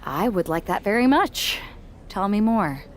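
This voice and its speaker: A woman's voice: medium pitch, expressive, measured pace, slight background noise, lightly acted. A woman playing a guarded team-leader wrestler in a video game, speaking in a casual, sardonic way. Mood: wry. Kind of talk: scripted video game cutscene dialogue.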